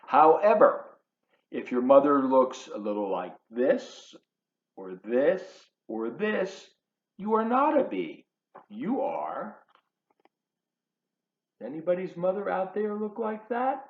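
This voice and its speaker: A man reading a children's book aloud in an expressive, emphatic, teacherly way, adding chatty asides for his young listeners. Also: on the high side, slow pace, pauses often. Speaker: volunteer children's storybook reader. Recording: roomy echo, slightly dull sound.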